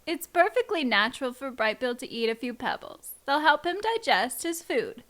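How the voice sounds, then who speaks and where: A woman voicing a cheerful grown goose in an audiobook, gently amused and reassuring. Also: high-pitched, sing-song, normal speed.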